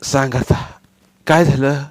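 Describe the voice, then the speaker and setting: A man's voice: mid-range voice, fairly even intonation, slow pace, no echo, voice pushed up. A man voicing a wounded teenage boy who speaks in a bitter, choked way, in a storybook reading. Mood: gentle, worried. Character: hurt, angry teenage boy, stepson.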